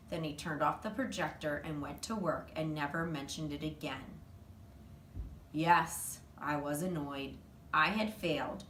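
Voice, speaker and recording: A woman reading a children's story aloud in an animated, expressive storytelling manner. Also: deep voice. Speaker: reader, teacher. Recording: roomy, distant mic, faint noise.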